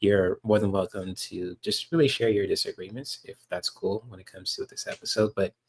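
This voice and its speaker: Soft-spoken man rambling over a clip. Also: lowish voice, moderate pace, breathy edge. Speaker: podcast host reacting to a clip. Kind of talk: casual reaction commentary.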